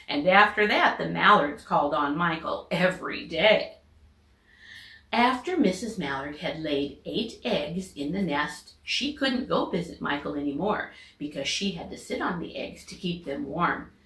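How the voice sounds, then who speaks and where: A middle-aged American woman, a teacher, reading a children's storybook aloud with expressive narration. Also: fairly low pitch. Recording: echoey home room recording.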